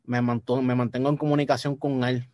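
A young man with a Puerto Rican Spanish accent, talking casually as a guest musician, relaxed and repeating words as he thinks. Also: medium pitch, fairly quick, no room sound. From Puerto Rico. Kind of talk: casual interview chat.

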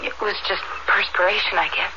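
A woman's voice: medium pitch, fairly even intonation, a little fast, gravelly, close to an everyday voice. An actress playing a troubled, dreamy young woman, confused and pleading, in a radio drama. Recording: dull, very noisy vintage radio recording.

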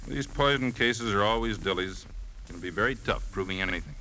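An actor playing a gruff, matter-of-fact police captain in a 1950s radio drama. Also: male voice, fairly low pitch, fairly quick, bright, forceful tone. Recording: noisy old 1950s radio recording.

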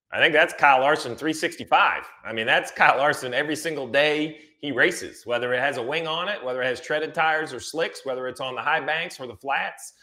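A man's voice: medium pitch, bright, forceful tone. A man giving conversational motorsport analysis, emphatic and repeating phrases to drive points home.

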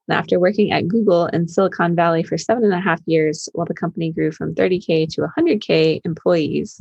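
Gravelly, husky woman podcast host. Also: on the low side, a little mellow, dry recording, highs cut off. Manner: enthusiastic, chatty. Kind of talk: podcast interview, reading an intro.

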